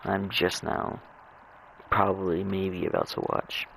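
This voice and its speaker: A young man chatting casually and rambling over his gameplay, halting and stammering as he repeats words. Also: low voice, level tone, vocal fry, fairly bright tone, a little hiss or hum. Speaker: gamer narrating own gameplay.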